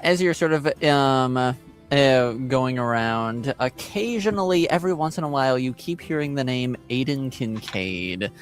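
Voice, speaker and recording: Guy game mastering, slow and halting, average pitch, music playing behind him. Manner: halting, thinking aloud. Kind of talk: improvised narration of a tabletop game.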